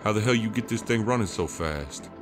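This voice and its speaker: An actor playing an uneasy, hard-drinking drifter in a horror audio drama, talking in a casual, slangy way. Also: male voice, on the low side, expressive, a little fast, music in the background, lightly acted. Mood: casual, puzzled. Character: T-Bird, uneasy tequila-swigging drifter, an expectant father.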